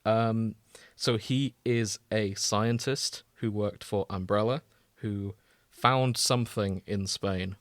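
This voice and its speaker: A man's voice: fairly low pitch, fairly even intonation, slow pace, no echo. A British man explaining things in a casual, wry, informal podcast chat, sometimes sweary. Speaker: podcast host explaining a video game.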